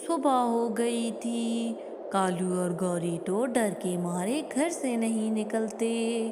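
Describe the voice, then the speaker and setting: A woman storyteller narrates a scripted children's tale slowly in a fairly high voice. The recording has long hall-like reverb. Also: noisy background.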